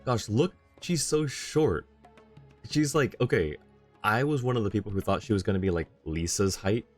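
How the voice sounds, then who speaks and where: A man's voice: fairly low pitch, lively intonation, moderate pace. A man streaming a video game with casual, rambling commentary, thinking aloud. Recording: faint game music behind.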